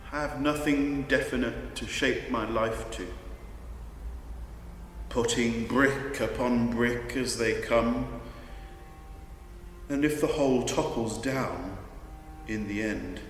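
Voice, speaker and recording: A man's voice: medium pitch, slow pace, long pauses, soft background music. A man giving a solemn, dramatic reading of literary prose. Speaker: reader. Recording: echoey hall from far off, faint noise.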